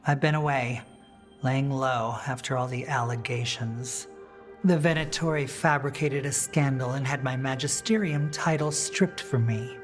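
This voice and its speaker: A worldly, wry noblewoman mage from a fantasy game. She speaks in a measured, teasing way over soft background music. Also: very deep voice. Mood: wry, familiar. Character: poised, sly aristocratic mage rebel, worldly and wry.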